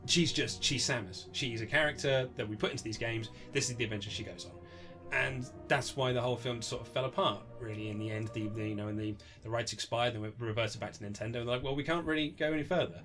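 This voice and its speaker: Fast-talking British man, chatty interviewee. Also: pushed and bright. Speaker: author being interviewed. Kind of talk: animated casual interview chat. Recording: low-budget recording with music underneath.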